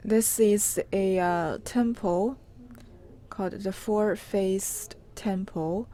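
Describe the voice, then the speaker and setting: A woman's voice: mid-range voice, steady tone, very slow, mellow. A woman explaining local sights live, hesitant and often searching for her words.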